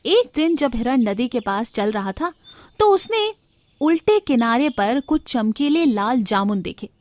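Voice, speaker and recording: A woman with a somewhat high voice narrates an animal story for children. She reads it as a scripted storyteller. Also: very animated, measured pace, darkish tone.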